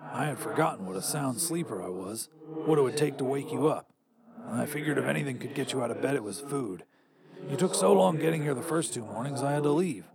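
A man voicing a burnt, undead older version of a story's narrator, blunt and wry, with a slightly raspy voice. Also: medium pitch, animated, fairly quick, heavy background noise, lightly acted. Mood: wry, resigned. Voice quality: a bit raspy. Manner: blunt, colloquial, nagging. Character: burnt undead future self, urgent, wry, weary visitor.

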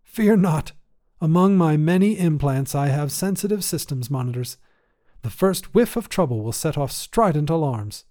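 A man voicing a poised, two-hundred-year-old woman space commander in a science fiction story, speaking in a measured, formal, gently wistful way. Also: fairly high pitch, expressive, voice pushed up, lightly acted. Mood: calm, resolute. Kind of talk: acted dialogue in science fiction story reading.

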